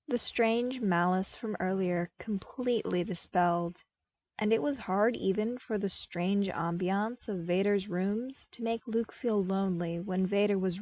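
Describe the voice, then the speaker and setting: A woman's voice: medium pitch, no echo. Woman narrating a story, close dry sound. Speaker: podfic narrator.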